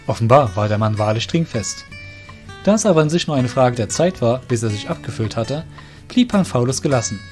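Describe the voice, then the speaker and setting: A man narrates a fantasy tale in a scripted storytelling style. His pitch is medium for a man, and soft music runs underneath. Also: lively intonation, fairly quick.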